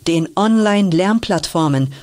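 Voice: medium pitch, expressive, moderate pace, darkish tone, close to an everyday voice. A performer voicing a school principal making a formal announcement to students in a children's audio drama.

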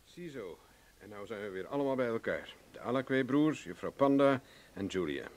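An actor playing a wry, cool adventurer in a Dutch radio drama. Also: male voice, mid-range voice, lively intonation, normal speed, lightly acted. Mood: breezy, assured.

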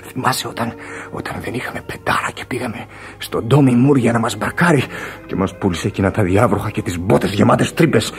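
An actor playing a weary, feverish dying sailor in a Greek radio drama, halting and drifting toward whispers, full of regret. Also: male voice, medium pitch, gravelly, lightly acted. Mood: hazy nostalgia. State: weak, in pain. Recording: radio play with music underneath. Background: music.

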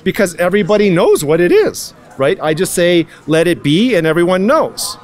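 A man's voice: high voice, sing-song, normal speed. A young man in a street interview at night outdoors, musing philosophically and asking rhetorical questions into a handheld mic. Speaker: passerby. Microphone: handheld mic held by interviewer.

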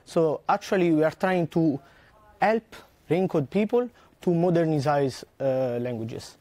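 A man, a researcher giving a conference talk in a lecture hall, earnest and full of "uh" pauses. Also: on the high side, mellow. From southern Italy. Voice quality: frequent "uh" fillers and restarts, earnest.

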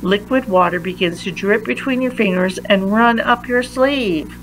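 A woman reading a children's picture book aloud in a deliberate manner, pausing between phrases. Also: medium pitch, fairly bright tone, slight background noise, low-quality recording. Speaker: read-aloud reader.

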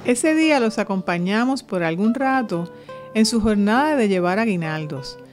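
A woman's voice: medium pitch, lively intonation, normal speed, faint music behind. Woman narrating a cultural documentary. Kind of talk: scripted narration.

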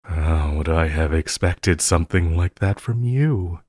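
A man's voice: deep voice, lively intonation, darkish tone, no echo, clean studio sound. A man playing an obsessive, lovestruck villain in an intimate audio roleplay, theatrical and giggly, playfully menacing and pleading. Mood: amused, delighted. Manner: theatrical, slow drawling, frequent giggling.

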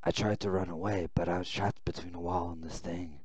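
A man voicing a weary, fearful young man who narrates his ordeal, reading visual novel text with halting, dramatic phrasing. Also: low-pitched, fairly even intonation, breathy edge, no room sound. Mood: uneasy, hushed.

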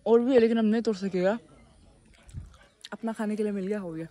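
A young woman from Arunachal Pradesh, chatting excitedly to her phone camera while vlogging around a hill village. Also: mid-range voice, brisk. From Arunachal Pradesh, India. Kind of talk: casual vlog narration.

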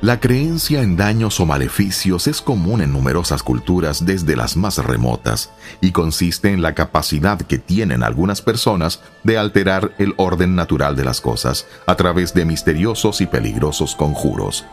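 A man's voice: sits low, expressive, normal speed, soft background music. A man giving scripted, explanatory radio narration as a presenter.

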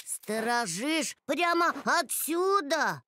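High, slow-talking young cartoon animal. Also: female voice, heavily acted. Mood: alarmed, doubtful. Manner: expressive, questioning. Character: curious, excitable young cartoon animal friend. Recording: music behind the voice.

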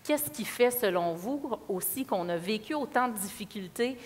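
A woman's voice: a little high, animated, normal speed. A woman in her thirties with a Quebec French accent, moderating a panel discussion on stage with a headset mic. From Quebec, Canada. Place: large auditorium stage. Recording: reverberant hall.

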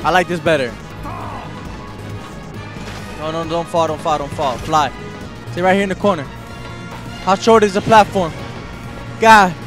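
A man hosting a gaming video with excitable, slangy, profane commentary, often repeating phrases and yelling. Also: high voice. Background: video game music and sound effects.

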